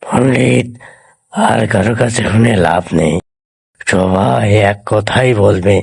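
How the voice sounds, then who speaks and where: A man's voice: lowish voice, slow pace, gravelly, husky, no room sound, exaggerated voice. A man voicing a greedy, hungry, ungrateful tiger in a cartoon folk tale. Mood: menacing. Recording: music behind the voice. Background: music.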